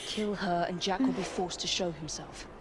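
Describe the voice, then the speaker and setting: A woman's voice: lowish voice, moderate pace, noisy background, natural voice. An actress playing a determined, protective younger lady vigilante in a video game, with an English accent and clipped, composed delivery. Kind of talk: video game cutscene acting.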